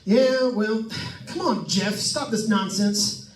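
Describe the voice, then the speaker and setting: A man's voice: high voice, slow, soft background music. A man playing a jokey, chatty radio host on a ghost hunt in old-time radio drama style, with folksy asides to listeners. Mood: uneasy, narrating. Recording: echoey room, distant microphone.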